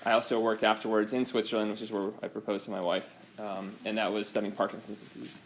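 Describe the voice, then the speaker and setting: A man's voice: fairly low pitch, level tone, quick pace. Man lecturing a chemistry class, noisy. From United States. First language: Swedish. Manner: informal, hesitant with many ums. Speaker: chemistry instructor.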